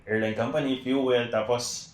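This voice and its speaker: A man's voice: mid-range voice, fairly even intonation, unhurried. A young man with a Filipino accent chatting casually as a podcast guest, mixing in English phrases. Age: young adult. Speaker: podcast guest, air traffic controller. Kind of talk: casual podcast chat, code-switching. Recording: echoey room, faint background noise.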